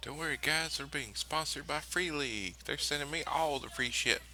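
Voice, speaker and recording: Bright, forceful man chatting casually. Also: a little high, moderate pace. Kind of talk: casual chat.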